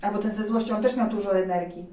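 A woman telling a children's tale conversationally, in an expressive and reflective way. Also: medium pitch, fast, echoey room, distant mic. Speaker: storyteller in a children's tale series.

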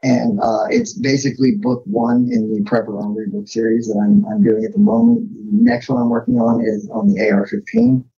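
A man gives a casual, self-deprecating interview in a relaxed way. His voice is mellow and husky with plenty of fry. The recording is a little dull and lo-fi. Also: mid-range voice, fairly quick, hardly any pauses. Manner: laid-back, self-deprecating. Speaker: podcast guest, firearms author.

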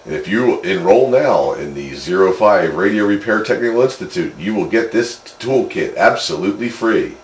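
A man's voice: lowish voice, animated, normal speed, few pauses. A man chatting casually over two-way radio as a hobbyist, explaining technical things in a rambling way. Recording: roomy, noisy CB radio speaker pickup.

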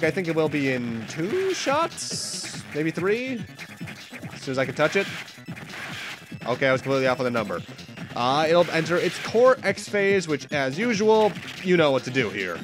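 A young man with a stuffy nose talks through a game as he plays, chatty and casual. His voice sits a little high and swings widely in pitch. Loud game music plays behind him. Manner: chatty, self-correcting, occasional laughs. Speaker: let's play host. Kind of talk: casual gameplay commentary.

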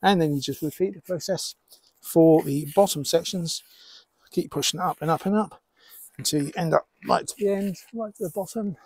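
A middle-aged man explaining and demonstrating as a hobbyist presenter, in measured short phrases with pauses. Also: on the high side, darkish tone, breathy edge, no echo. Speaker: amateur radio hobbyist presenter.

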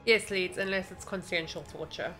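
Bright-voiced South African woman streamer. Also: fairly low pitch, normal speed, faint music behind. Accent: English South African. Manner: playful, hums and chants along. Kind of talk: casual gaming chat.

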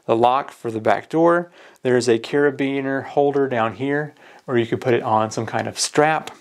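Regular guy doing a hands on product demo, medium pitch, explains stuff plainly step by step. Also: moderate pace. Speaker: product reviewer. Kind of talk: casual hands-on product walkthrough. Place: indoors at a work table.

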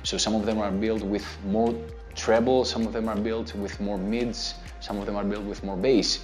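A man in his thirties with a Greek accent, answering viewer questions about guitar in a relaxed, step-by-step way with plenty of fillers. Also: lowish voice, moderate pace. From Greece. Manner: gestures with his hands while talking. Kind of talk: casual instructional talk to camera. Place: indoor studio with a plain grey backdrop. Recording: soft background music under the voice.

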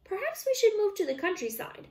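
A woman's voice: very high voice, very animated, a little fast, a little mellow, voice pushed up. A woman voicing an eager, fussy city fox in a children's storybook, with emphatic, exclaiming delivery. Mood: hopeful. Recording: roomy, echoey, distant microphone.

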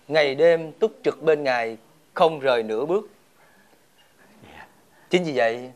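An actor playing a devoted, dignified soldier in a period fairy tale, speaking formally and solemnly. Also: male voice, medium pitch, close to an everyday voice. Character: devoted, dignified returning soldier and royal attendant. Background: background music.

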